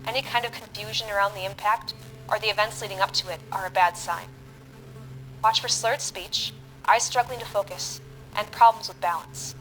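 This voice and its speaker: A woman playing a matter-of-fact medical broadcaster, giving measured, precise instruction in an audio drama. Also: medium pitch, steady tone, fairly bright tone, faint music behind, close to an everyday voice, low-quality recording. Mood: clinical, instructive. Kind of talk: scripted instructional radio broadcast.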